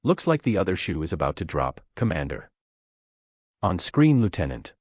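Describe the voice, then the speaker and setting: A man's voice: deep voice, very animated, moderate pace, darkish tone, dry recording, highs cut off. A man playing a composed, commanding starship captain with terse delivery in a science fiction audio drama. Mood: composed, resolute.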